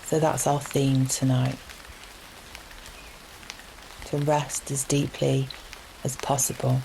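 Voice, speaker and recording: Low, very slow woman guiding meditation. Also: vocal fry, slight background noise, rough recording. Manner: gentle, soothing, unhurried with long pauses. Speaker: yoga nidra meditation guide. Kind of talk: guided relaxation meditation. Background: steady rain sounds.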